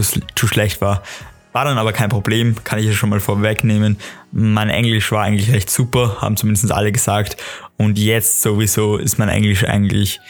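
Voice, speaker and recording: A teenage man with an Austrian accent chats casually on a solo podcast, telling stories in an emphatic, rambling, excitable way, with music behind him. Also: lowish voice. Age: teens, exchange student. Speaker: podcast host. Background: clearly audible music.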